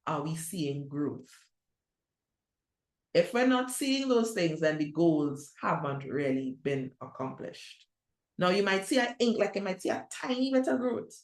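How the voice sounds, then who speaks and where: A middle-aged woman with a Trinidadian accent leads a conference session on youth ministry. Her voice sits fairly low, and she talks in a conversational, direct way, tossing out rhetorical questions as she goes. The sound carries a noticeable room echo. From Trinidad and Tobago. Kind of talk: conference presentation.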